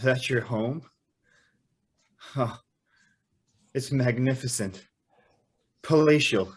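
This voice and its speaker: A man's voice: medium pitch, very slow, husky. An actor playing a naive young man in an old-time radio drama, full of wonder and hesitant questions. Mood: awestruck. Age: young adult. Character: naive, awestruck young male guest and narrator.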